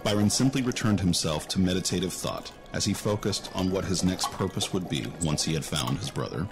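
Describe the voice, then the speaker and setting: A man's voice: low voice, expressive, brisk, music in the background. A man giving scripted narration for a fantasy audio drama.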